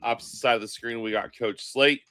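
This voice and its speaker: A man hosting a casual sports podcast, sounding stuffy from a cold, chatting in a relaxed way. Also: mid-range voice, brisk, clean tone. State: stuffy, congested. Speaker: podcast host.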